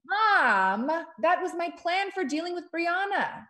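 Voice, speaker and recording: An actress playing an earnest, unsure grown daughter in a comedy series table read over a video call. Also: female voice, very high voice, very animated, unhurried, pushed and bright, full character voice. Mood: unsure, protesting. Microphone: computer video call.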